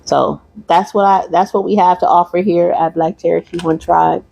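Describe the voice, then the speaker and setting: A woman's voice: on the low side, level tone, normal speed, gravelly, mellow. A woman from Michigan, a holistic practitioner, wrapping up her livestream class in a rambling, repeating way and talking to viewers. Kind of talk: casual livestream class wrap-up.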